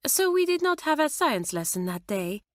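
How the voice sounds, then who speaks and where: A woman's voice: high-pitched, big pitch swings, normal speed, dry recording, clean studio sound, pitched above the performer's own voice. A woman voicing a cheeky, amiable French schoolgirl with a French accent, light and unbothered. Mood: explaining.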